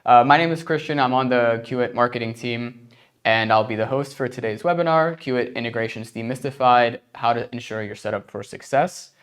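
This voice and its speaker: A man's voice: mid-range voice, fairly quick, fairly bright tone. A man from the United States with an American accent, hosting an informal webinar in a conversational, hesitant way. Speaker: webinar host.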